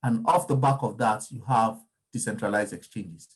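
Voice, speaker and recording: A man's voice: on the low side, moderate pace. Guy talking crypto markets, casual and rambly, keeps restarting his sentences. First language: Yoruba. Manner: discursive, frequent ums and restarts. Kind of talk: casual explanatory talk.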